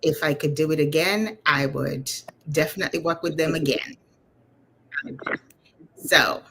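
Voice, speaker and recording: A woman's voice: deep voice, measured pace, fairly bright tone, breathy edge. A woman from Uganda, telling her own story conversationally as an interview guest, in a chatty, informal way. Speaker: interview guest, leadership coach.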